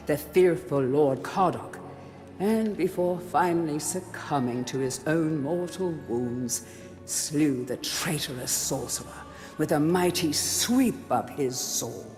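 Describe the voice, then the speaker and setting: An actor playing a grave chronicler of an old fantasy kingdom, narrating a game's storybook history in a solemn, theatrical way. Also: male voice, on the high side, a little mellow. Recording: long hall reverb, music underneath. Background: music.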